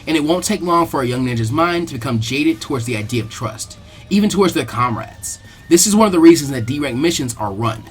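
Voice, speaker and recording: A man reading fan fiction as a dramatic audiobook-style narration. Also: a little high. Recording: music behind the voice. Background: music.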